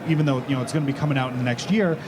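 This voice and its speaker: A man's voice: medium pitch, rapid-fire, noisy background. A man on a conference panel, explaining a decision framework fluently and at length. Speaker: author on a panel. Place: large conference stage.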